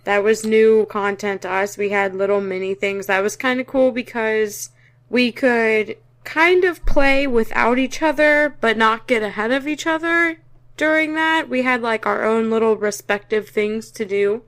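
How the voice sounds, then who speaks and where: A woman's voice: on the high side, unhurried, fairly bright tone. Joking young woman podcast co-host. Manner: joking, trails off and restarts, self-correcting. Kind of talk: casual chat.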